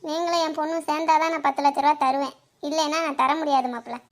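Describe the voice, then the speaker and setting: Woman doing a very high-pitched fussy cartoon mother. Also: fairly even intonation, fast talker. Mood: insistent. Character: fussy, insistent middle-aged mother and mother-in-law. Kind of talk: cartoon comedy dialogue.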